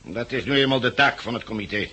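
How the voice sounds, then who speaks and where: An actor in a 1960s radio drama playing a hard, officious commandant who speaks formally and curtly with authority. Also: male voice, mid-range voice, fast, pushed and bright. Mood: cold, official. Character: hard, officious committee commandant laying down the rules. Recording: rough old radio drama recording.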